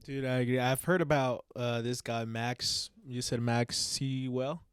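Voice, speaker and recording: A young man from Peru with a clear, smooth voice, joining a casual podcast as a guest. He chimes in with joking, enthusiastic remarks. The sound is close and dry. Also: mid-range voice, steady tone, a little slow, dry recording.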